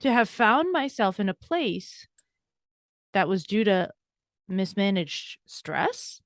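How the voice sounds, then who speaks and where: A woman in her forties, a burnout coach and guest on a podcast interview, telling her story in a candid, brisk, self-deprecating way. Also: medium pitch, sing-song, no room sound, clean studio sound.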